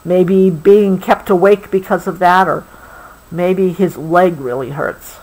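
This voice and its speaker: A woman reads a picture book aloud to a child, gently adding explanations as she goes. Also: fairly low pitch, fairly even intonation, slow, a little hiss or hum, low-quality recording. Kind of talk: read-aloud storybook with explanatory asides.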